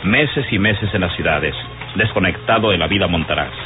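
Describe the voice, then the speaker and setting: Radio serial narrator, man, deep, scripted storytelling, strong music bed, phone-like old recording. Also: moderate pace. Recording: tinny old radio broadcast, loud music behind.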